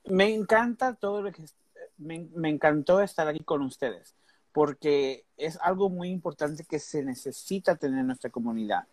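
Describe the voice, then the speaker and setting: Hombre titubeante, mezcla inglés. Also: male voice, fairly high pitch, lively intonation, unhurried, dry recording. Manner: hesitant, searching for words, mixes in English. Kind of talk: casual panel conversation.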